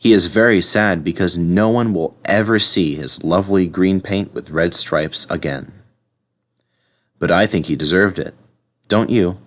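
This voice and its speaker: A man's voice: low-pitched, measured pace. A man narrating a children's story as a storybook reader.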